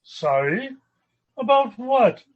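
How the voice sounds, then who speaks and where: A man's voice: extremely high pitch, very animated, takes it slowly, muffled recording, full character voice. A man playing a polite, mild-mannered rich young banker, courteous and hesitant, in an old-time radio comedy. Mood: gracious.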